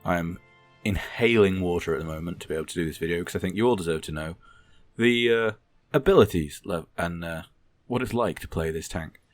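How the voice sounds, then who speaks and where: Croaky low-voiced man commenting on gameplay. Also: fairly quick, dry recording, soft background music. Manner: rambling, self-correcting, blunt opinions. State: croaky, hoarse voice. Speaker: gaming commentator. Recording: game sounds clearly behind the voice.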